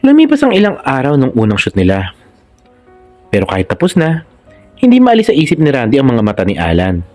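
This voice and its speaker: A man's voice: medium pitch, huge pitch swings, a little fast, pauses often, a little mellow, faint music behind. A man with a Filipino accent reading a scripted romantic story as narrator. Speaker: narrator and channel host.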